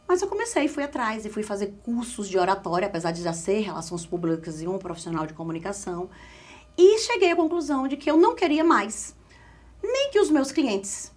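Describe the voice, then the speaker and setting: Lively Pernambuco woman telling her story. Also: sits high. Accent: Pernambuco Brazilian Portuguese. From Caruaru, Pernambuco, Brazil. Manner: expressive, emphatic, lively storytelling with repeated phrases. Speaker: guest, communication specialist. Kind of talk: casual interview storytelling.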